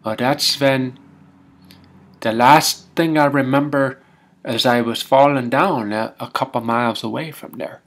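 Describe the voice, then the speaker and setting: A man playing a folksy, rambling Minnesotan husband with a Scandinavian-tinged Minnesota accent, telling comic tall tales in halting, homespun grammar. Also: mid-range voice, a bit bright. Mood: bewildered. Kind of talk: comic tall-tale storytelling.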